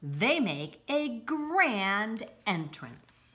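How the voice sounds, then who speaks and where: A middle-aged woman reading a children's picture book aloud, deliberately and with expressive emphasis, recorded indoors a short distance from the camera. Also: medium pitch. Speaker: school read-aloud presenter. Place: indoors, home-like room with armchairs.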